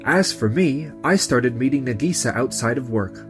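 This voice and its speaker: A man's voice: mid-range voice, animated, moderate pace, fairly bright tone, faint music behind, natural voice. Man as an earnest, courteous young male nurse. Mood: calm narrating. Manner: polite, sincere. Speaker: lead and narrator in a manga dub. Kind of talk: scripted dub acting and narration.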